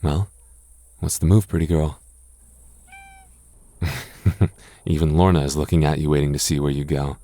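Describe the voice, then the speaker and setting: A man's voice: rumbling low, flat delivery, normal speed, long pauses, mellow, dry recording. A young man voicing a goofy, doting goth boyfriend in an ASMR roleplay, talking in a stammering, self-teasing way with frequent laughs. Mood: uneasy. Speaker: voice actor.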